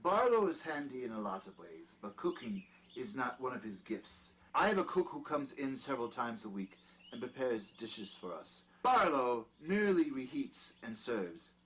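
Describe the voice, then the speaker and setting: A man's voice: medium pitch, sing-song, moderate pace, fairly bright tone. A man playing a genteel, peculiar doctor and mansion host in an audio drama, with polite, formal phrasing. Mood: courteous, controlled. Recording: clear background noise.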